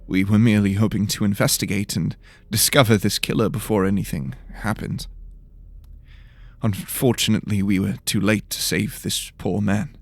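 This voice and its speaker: A man's voice: lowish voice, steady tone, moderate pace, creaky, no room sound. A man voicing a shaky, apologetic young ward of a lord in a fantasy audio drama, hesitant and timid. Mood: shaken.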